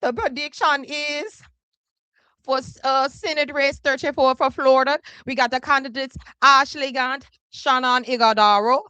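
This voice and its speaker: A woman's voice: high-pitched, fairly even intonation, normal speed, sharp tone, no room sound. A woman hosting a casual political commentary livestream, chatty and teasing, who swears freely and laughs often. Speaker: podcast host.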